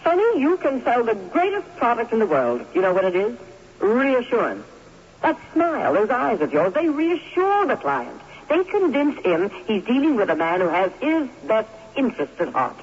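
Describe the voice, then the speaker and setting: A woman acting in an old-time radio drama as a smooth, shrewd advertising boss, knowing and flattering. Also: fairly high pitch, moderate pace. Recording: muffled, hissy old radio recording.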